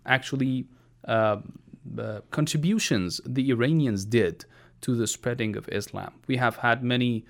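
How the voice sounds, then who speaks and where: A man's voice: medium pitch, unhurried, hardly any pauses. A man on a discussion panel who explains his point by posing questions and answering them himself. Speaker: guest panelist.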